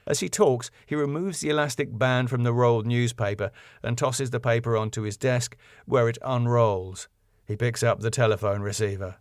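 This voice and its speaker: A man's voice: medium pitch, fairly even intonation, moderate pace, no room sound, clean studio sound. A man reading his own sitcom script as narrator, with scene headings and stage directions.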